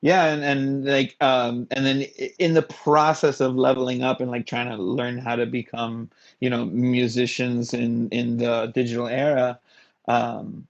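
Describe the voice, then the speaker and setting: A man from Miami with a fry-heavy voice talks casually as a musician guest. His talk is slangy and emphatic, and the recording is dull and lo-fi. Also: medium pitch, flat delivery, unhurried. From Miami, Florida, United States. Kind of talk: casual chat. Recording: dull, lo-fi voice pickup.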